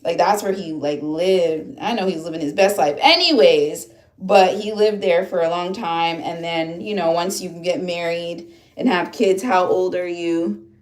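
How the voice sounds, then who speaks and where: A woman's voice: fairly low pitch, measured pace. A woman in her thirties from upstate New York, hosting a casual livestream and chatting with viewers in the comments, rambling with lots of fillers and restarts. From upstate New York, USA. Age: 30s. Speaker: livestream host. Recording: echoey room.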